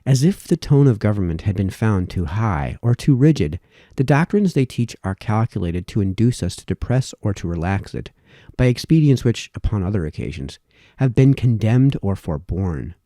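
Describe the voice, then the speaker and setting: A man reading formal historical essays aloud, with measured, emphatic phrasing that falls away at sentence ends. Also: on the low side, big pitch swings, mellow, no echo, studio quality.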